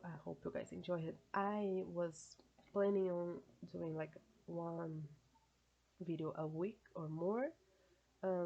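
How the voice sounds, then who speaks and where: A woman's voice: on the low side, vocal fry, darkish tone, dull audio. A woman from Brazil with a Brazilian Portuguese accent, chatting casually to her viewers with lots of fillers, and a stuffed nose from a cold. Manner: chatty, many fillers, self-correcting phrasing. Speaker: reaction video creator. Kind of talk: casual solo commentary.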